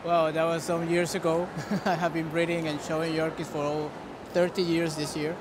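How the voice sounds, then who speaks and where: A man's voice: fairly high pitch, flat delivery, normal speed, background noise. A middle-aged man with a Costa Rican Spanish accent, a native Spanish speaker, giving conversational interview answers as a dog breeder. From Costa Rica. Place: large busy indoor dog show hall. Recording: busy echoing show hall din. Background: hall ambience and bustle.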